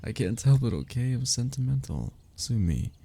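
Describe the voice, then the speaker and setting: A man's voice: medium pitch, big pitch swings, normal speed, gravelly, dark tone, slight background noise. A man playing a sentimental, teasing young man in an ASMR roleplay, talking intimately and conversationally to a childhood best friend. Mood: teasing, fond. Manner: intimate, conversational, with sighs and chuckles. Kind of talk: ASMR roleplay audio drama.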